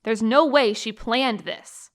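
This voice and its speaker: An actress playing a loyal, worried high school girl, speaking earnestly in a naturalistic audio drama testimony. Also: takes it slowly. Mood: upset, insistent. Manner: earnest, emphatic.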